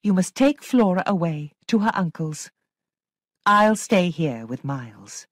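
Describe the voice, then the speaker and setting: A woman's voice: lowish voice, very animated, a little slow, no echo, studio quality. A woman voicing an anguished young governess in a storybook reading, with intense, emphatic delivery. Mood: urgent. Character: anguished young governess, desperate, insistent, haunted. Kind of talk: dramatic audiobook character acting.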